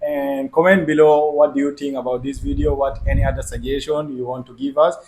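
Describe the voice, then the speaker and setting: A man's voice: medium pitch, little pitch movement, a little fast, a little mellow, slight background noise, low-quality recording. A man from Mizoram hosting a casual, laughing language challenge with friends, coaching their pronunciation. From Mizoram, India. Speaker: channel host.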